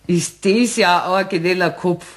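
A woman's voice: lowish voice, normal speed, close to an everyday voice. An actress playing a friendly, chatty middle-aged neighbor woman with a Bavarian accent. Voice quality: chatty, homely. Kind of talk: 1960s German radio play acting.

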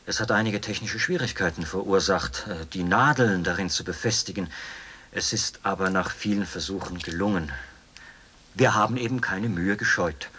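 An actor playing a zealous, meticulous officer in a radio drama, explaining things in a precise, matter-of-fact way. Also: male voice, medium pitch, a little hiss or hum. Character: zealous, meticulous male officer proudly explaining an execution machine. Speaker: officer and executioner. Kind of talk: German radio drama acting.

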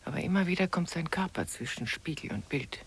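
An actress playing a curious, watchful sitter in a radio play, speaking in a measured, reflective monologue. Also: female voice, low voice, lively intonation, vocal fry, background noise.

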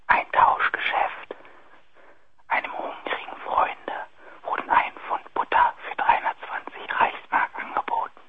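A man calling a radio show, reading a text aloud in a whisper over the telephone. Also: a little slow. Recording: dull lo-fi phone call.

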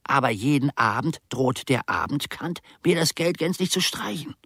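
Grumbly old servant in a radio play, croaky and husky, wry theatrical storytelling, fed up with his master. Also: mid-range voice, animated, moderate pace, fairly bright tone, no echo, studio quality, full character voice. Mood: exasperated, weary. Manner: quaint clipped phrasing, wry and theatrical. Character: aggrieved, world-weary old manservant grumbling about his philosopher master. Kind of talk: monologue radio drama acting.

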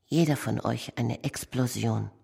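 A woman performing poetic radio art, reciting in deliberate, fragmented phrases. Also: extremely low pitch, vocal fry, slightly rough. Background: loud music.

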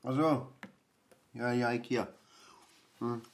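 An actor playing a frail, flustered old man in a naturalistic radio drama, halting and muttering. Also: medium pitch, steady tone, slight background noise. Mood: fumbling, explaining. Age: older.

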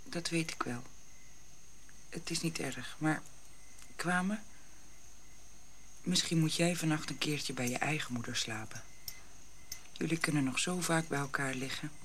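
Gentle, slow-spoken sorrowful mother. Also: sits low, slight background noise. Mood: soothing, weary. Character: tender, sorrowful African mother of a young boy. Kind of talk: radio drama acting.

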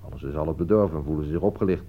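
Deep-voiced, wry crime writer. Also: male voice, very fast. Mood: dry, wry. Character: dryly witty male detective-story writer, shrewd and sardonic. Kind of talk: radio play acting in Dutch. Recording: dull, muffled old radio recording.

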